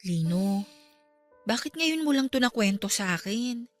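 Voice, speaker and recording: A woman's voice: fairly high pitch, lively intonation, a little slow. A Filipino actress in a radio drama playing a caring, exasperated young girlfriend, nagging yet tender. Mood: concerned. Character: caring, exasperated young girlfriend looking after her man. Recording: music behind.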